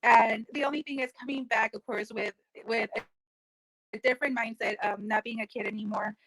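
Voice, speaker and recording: A woman's voice: on the high side, fairly even intonation, fairly quick, vocal fry, fairly bright tone, no room sound, rough recording. A woman from South Texas speaking earnestly on a video-call panel, reflecting on leaving home and returning. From Rio Grande Valley, South Texas. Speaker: panelist poet. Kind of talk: casual panel discussion. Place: home room.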